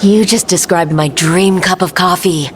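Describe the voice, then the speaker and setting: An actor playing a composed, warm and playful adventurer hero in a video game cutscene. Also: medium pitch, measured pace, a faint crowd, slight background noise, lightly acted. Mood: wry, composed.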